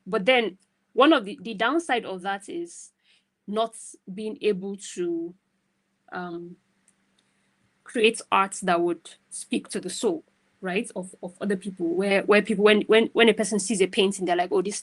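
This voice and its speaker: A woman giving casual, conversational advice as a guest mentor on a video call, emphatic and often checking in with the listener. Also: mid-range voice. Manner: emphatic, often tags phrases with "right?".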